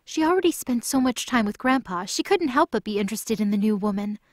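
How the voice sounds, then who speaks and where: A woman's voice: fairly high pitch, expressive, a little fast, no echo, clean studio sound. Woman narrating a scripted fantasy story.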